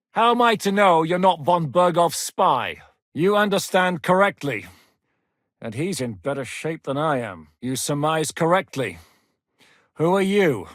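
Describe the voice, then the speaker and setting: An actor playing a blunt, battle-hardened knight commander in a game, speaking with commanding, profane directness. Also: male voice, a little high, a bit bright, dry recording, clean studio sound. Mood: suspicious. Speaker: game character voice lines. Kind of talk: game voice acting.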